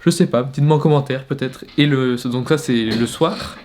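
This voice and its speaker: A man's voice: mid-range voice, moderate pace, darkish tone, slight background noise. A young man casually talking to camera in his bedroom, hesitant, with many fillers and restarts. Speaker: fitness vlogger. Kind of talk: casual vlog talk to camera.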